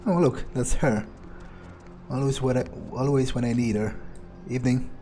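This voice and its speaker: A man narrating a video game in character, thinking aloud in an understated, musing way with frequent pauses. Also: mid-range voice, dark tone, slight background noise. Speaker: gamer playing in character.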